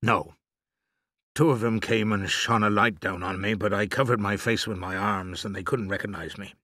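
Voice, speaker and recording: A man's voice: on the low side, fairly even intonation, normal speed, sharp tone, dry recording, clean studio sound. A man voicing a loving father in an audiobook story, hurt and trapped, urgent but reassuring to his child. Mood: pained, steady.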